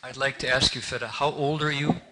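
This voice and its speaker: A man moderating a public event, relaxed and lightly joking as he moves the program along. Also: medium pitch, fairly quick, noisy background.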